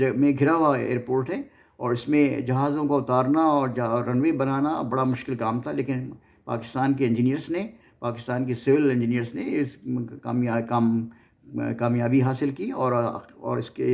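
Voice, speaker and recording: A man's voice: mid-range voice, fairly even intonation, normal speed, gravelly, darkish tone. A man with a Pakistani accent hosting an informal history narration, often stumbling and repeating his words as he goes. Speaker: host of a history series.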